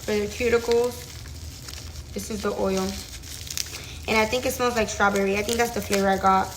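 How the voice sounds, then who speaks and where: A woman nail technician with an accent chatting excitedly as she unboxes her supplies in a casual vlog. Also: medium pitch, normal speed, noisy background. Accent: non-native English accent. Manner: chatty and excited, lots of fillers. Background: loud crinkling and rustling of packaging.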